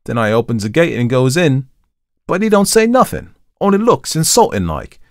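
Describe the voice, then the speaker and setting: A man's voice: medium pitch, big pitch swings, normal speed, dry recording, clean studio sound, voice pushed up. Man playing an indignant Cockney manservant. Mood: worked-up, contemptuous. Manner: colloquial, deferential, calls master sir. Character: Cockney young manservant, ex-soldier, indignant and deferential.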